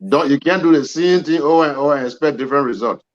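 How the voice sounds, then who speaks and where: A man's voice: on the high side, fast talker. A man in his forties arguing emphatically in a political debate, accusing opponents. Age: 40s. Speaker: panelist. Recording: dull lo-fi audio.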